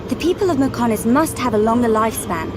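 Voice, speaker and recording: A woman acting a gentle, earnest young companion in a video game, sincere in manner. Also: on the high side, quick pace, lightly acted. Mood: curious. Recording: game audio with music bed.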